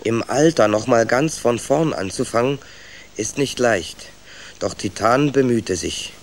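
A man's voice: medium pitch, lively intonation, a little slow. A man playing a reflective, wry rat who narrates his clan's voyage in a children's radio play. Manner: thoughtful, wry storyteller.

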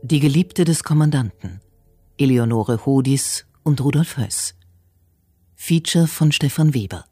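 A woman's voice: very deep voice, expressive, measured pace, dark tone, breathy edge, no room sound, faint music behind. A woman reading scripted radio titles and credits in a formal, factual manner.

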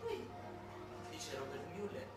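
A man performing a satirical theatrical monologue with ironic, halting, dramatic delivery. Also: fairly high pitch, wildly swooping pitch, distant mic, over loud music. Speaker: monologue actor and narrator. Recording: very echoey room, recorded from afar.

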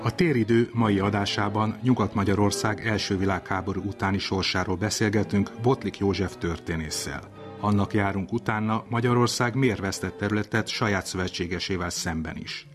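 A male radio presenter with a very low voice, delivering scripted introductions and interview questions. There is some music in the recording. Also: steady tone. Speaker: radio host.

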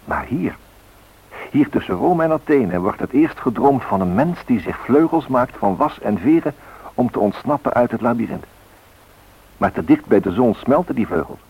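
An actor playing a solemn, poetic storyteller narrating a radio drama with dramatic emphasis and a husky voice. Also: male voice, mid-range voice, lively intonation, moderate pace. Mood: solemn, wistful. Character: solemn poetic male storyteller of flight myths. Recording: muffled old radio recording.

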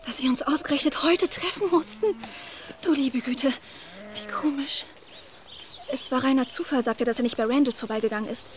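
A woman's voice: sits high, normal speed, close to an everyday voice. An actress playing a naive, eager and deferential young woman in a radio play, artless and hesitant in her speech. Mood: flustered, excited.

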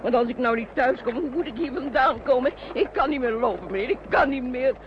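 A woman's voice: sits high, brisk, close to an everyday voice. An actress playing a distraught, exhausted woman refugee in an old radio play, tearful and plaintively repeating herself. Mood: pleading, panicky. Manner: plaintive, repetitive wailing. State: upset, tearful. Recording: muffled old radio recording, faint noise.

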